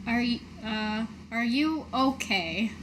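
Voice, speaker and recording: Woman as stoic female captain, slow deliberate pace, higher voice, halting, understated, kind, faint noise. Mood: reflective, resigned. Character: world-weary, stoic but kind female adventuring captain.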